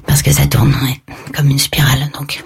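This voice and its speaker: A woman reading a radio commercial in a dry, deadpan, self-mocking way. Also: rumbling low, normal speed, vocal fry, slightly rough. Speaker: ad voice-over.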